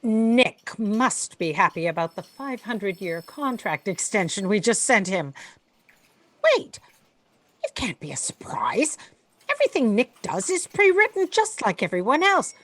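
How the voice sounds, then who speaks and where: A husky, imperious editor boss. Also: female voice, medium pitch, big pitch swings, unhurried, a bit bright, no room sound. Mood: suspicious. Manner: haughty, demanding. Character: imperious, suspicious female editor-in-chief boss. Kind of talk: audio drama acting.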